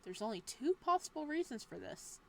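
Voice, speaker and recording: A woman's voice: high voice, big pitch swings, a little fast, vocal fry, a little hiss or hum. A woman reading a horror visual novel aloud, breaking off for playful, joking asides. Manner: playful asides between narration. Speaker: game streamer.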